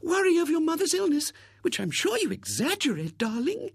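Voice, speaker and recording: A man reading aloud and voicing a gushing, overbearing spinster in a shrill, breathless, theatrical way. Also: huge pitch swings, fairly quick, vocal fry, no room sound, clean studio sound, exaggerated voice.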